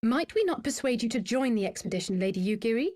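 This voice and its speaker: A woman's voice: on the high side, animated, fast, a little mellow, no room sound, studio quality. A woman playing a poised, politely persuasive diplomat in a game cutscene, with articulate, formal speech. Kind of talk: video game cutscene acting.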